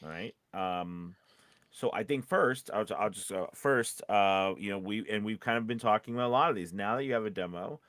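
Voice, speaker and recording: A man's voice: fairly low pitch, normal speed, a bit bright, no echo, clean studio sound. A man co-hosting a casual livestream chat, speaking in an informal, enthusiastic way. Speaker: host.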